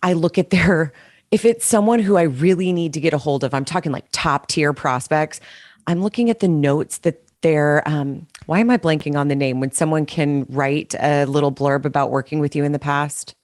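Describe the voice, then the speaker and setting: Woman with a really low voice, chatty and quick, dry close sound. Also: darkish tone, dry recording. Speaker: sales panelist. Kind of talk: casual panel discussion.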